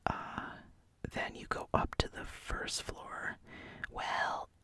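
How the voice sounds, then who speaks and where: American vlogger for whispered ASMR chat: fully breathy, slow-paced, casual self-amused musing. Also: no echo. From United States. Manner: soft unvoiced whisper, chatty, laughs at self.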